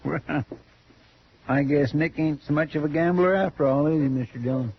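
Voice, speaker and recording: An actor playing an earnest, naive frontier deputy with an American rural drawl, deferential and rambling, in a 1950s radio western. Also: male voice, fairly high pitch, steady tone, normal speed, close to an everyday voice. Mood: chatty. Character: folksy, earnest, naive marshal's deputy in Old West. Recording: muffled, noisy old radio recording.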